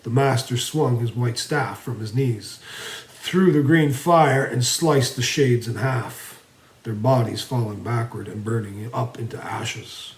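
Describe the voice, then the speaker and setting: Dramatic male storyteller in an echoing room. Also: mid-range voice. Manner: theatrical, swinging from emphatic to hushed. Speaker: storyteller reading own fantasy series. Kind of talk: dramatic fantasy audiobook narration. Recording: roomy, echoing voice recording.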